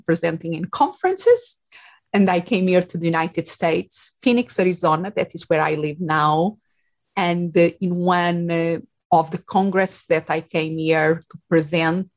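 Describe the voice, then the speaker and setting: A woman from Portugal speaking English with a Portuguese accent, a life coach answering interview questions in a reflective way, with many fillers. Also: low voice. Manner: reflective, with frequent fillers and restarts. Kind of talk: casual interview talk.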